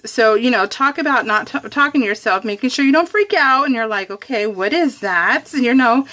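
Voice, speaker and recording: A woman with a fairly high voice narrates her crafting in real time. She is chatty and informal, giggly and quick to poke fun at herself, and her talk rambles along loosely. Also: fairly bright tone. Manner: giggly, self-deprecating, rambling. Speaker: craft vlogger.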